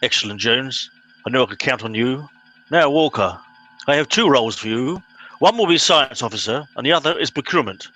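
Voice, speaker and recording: A man playing a pompous, bossy middle-aged captain in an amateur comedy radio play, brisk and officious. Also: medium pitch, expressive, pushed and bright, soft background music, low-quality recording. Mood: self-important.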